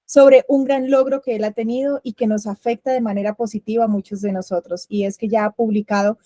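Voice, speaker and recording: Mellow-voiced woman hosting an interview. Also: fairly high pitch, steady tone, brisk, dry recording. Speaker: host.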